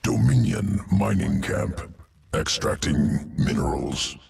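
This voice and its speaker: Game creature: an emotionless alien evolution master. Very low, gravelly, slow and clipped. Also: male voice, expressive, heavily acted. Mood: clinical. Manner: clipped, speaks in terse noun fragments. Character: cold clinical male alien evolution master. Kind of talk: video game cutscene acting. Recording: distant game audio with loud music.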